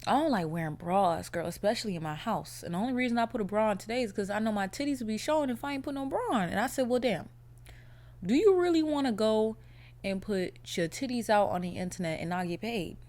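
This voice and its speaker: Young woman, podcast host, blunt and cursing, slightly raspy throat, lively solo chat. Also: mid-range voice, fast. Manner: blunt, profane, animated storytelling.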